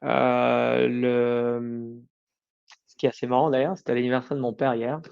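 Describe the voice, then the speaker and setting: A man's voice: mid-range voice, flat delivery, creaky, a little mellow, smooth, dull audio. A middle-aged man answering interview questions informally, often breaking off and rephrasing. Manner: informal, halting, self-correcting. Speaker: podcast guest, company founder.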